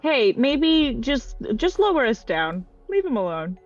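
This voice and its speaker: A woman with a highish, very lively voice banters casually during a tabletop game. She is playful and informal, heard through a slightly muffled recording with soft music behind. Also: unhurried.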